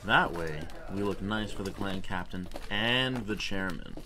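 A man's voice: deep voice, a little slow, noisy background. A man playing an easygoing, dryly casual yakuza gang member in an anime-style audio drama.

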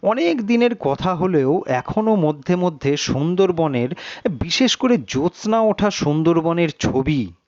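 A man reading a suspense story in a measured, literary, dramatic narration. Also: a little high, darkish tone, no room sound, muffled recording.

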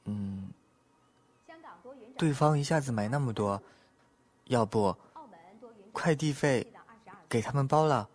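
A man playing a mild, soft-hearted young shopkeeper in an audio drama, with a hesitant, obliging manner. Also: mid-range voice, takes it slowly, pauses often, lightly acted. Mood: mild, casual. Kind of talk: Chinese audio drama acting.

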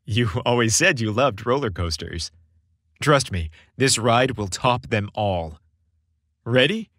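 A man's voice: mid-range voice, lively intonation, slow, a bit bright, no room sound, studio quality. Man voicing a confident, teasing young boyfriend. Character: young man, reassuring, mischievous boyfriend, Santa's son.

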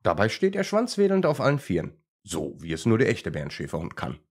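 A man's voice: fairly low pitch, huge pitch swings, a little fast, a little mellow, husky. A man narrating a scripted story for children as a storyteller.

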